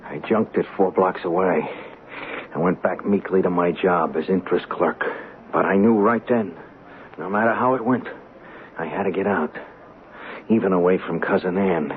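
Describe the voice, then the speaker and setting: A man acts out a young small-time hustler in a radio drama, speaking low in a hesitant, streetwise way. He sounds weary and defensive as he justifies himself. The recording is a narrow, muffled old broadcast with clear noise. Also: normal speed, lightly acted. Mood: grim, resigned. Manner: colloquial, hesitant, self-justifying. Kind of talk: radio drama acting, first-person confession.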